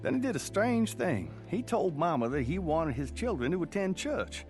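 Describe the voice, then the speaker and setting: Narrator: plainspoken country man, folksy first-person storytelling, lively intonation, faint music bed. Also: on the high side, normal speed. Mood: reminiscing. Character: plainspoken country man recalling his hill boyhood.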